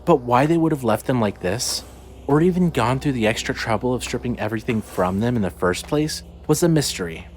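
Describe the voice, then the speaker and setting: Animated male fiction narrator. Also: mid-range voice, brisk. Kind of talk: dramatic scripted fiction narration. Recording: faint background noise and ambience.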